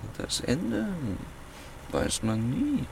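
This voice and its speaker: An actor playing a commanding, nonchalant swindler who poses as a baron in a radio drama. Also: male voice, on the high side, huge pitch swings, slow pace, gravelly, crowd noise, full character voice. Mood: cool, commanding. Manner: clipped orders, pompous, nonchalant, precise. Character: suave, commanding male con man posing as baron.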